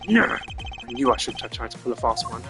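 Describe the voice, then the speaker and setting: A male streamer playing a story game live, loud and jumpy in his reactions. Game music plays clearly behind him. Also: mid-range voice, animated, a little fast.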